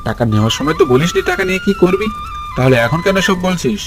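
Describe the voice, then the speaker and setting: A man's voice: a little high, lively intonation, quick pace, natural voice. A man playing a harsh, threatening village moneylender in a cartoon, scolding and domineering. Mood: menacing. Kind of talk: Bengali cartoon storytelling dub. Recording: voice over background music.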